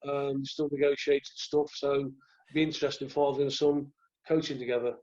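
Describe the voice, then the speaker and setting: Croaky man, muffled audio. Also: medium pitch, level tone, brisk, a little mellow. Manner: rambling, restarts often. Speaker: guest, former goalkeeper. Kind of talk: relaxed anecdotal chat.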